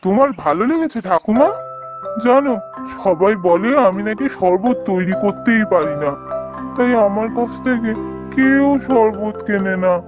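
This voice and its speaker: A woman's voice: medium pitch, unhurried, over music, natural voice. A woman voicing a poor, kindly, downcast male street seller in a cartoon fairy tale, with a homely, plaintive delivery. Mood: eager, wistful. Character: poor, downcast, kindly husband who sells sherbet.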